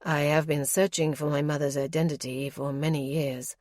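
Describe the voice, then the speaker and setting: A reserved young nobleman speaks in a deep, guarded tone, as a woman reads him. His words are clipped and formal. The sound is dry and close. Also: level tone, normal speed, darkish tone, no room sound, natural voice. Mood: grave. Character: grave reserved nobleman, young adult man.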